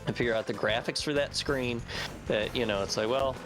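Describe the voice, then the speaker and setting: A man's voice: mid-range voice, brisk, low-quality recording. A man casually recounting things in conversation as a project team member. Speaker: fan translation team member. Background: game music.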